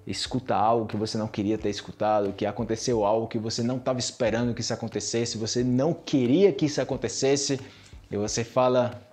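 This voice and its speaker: A young Brazilian man with a Brazilian Portuguese accent, teaching everyday expressions and acting them out with big jumps in pitch. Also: steady tone, fast, a little mellow. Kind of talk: lively language lesson acting out expressions.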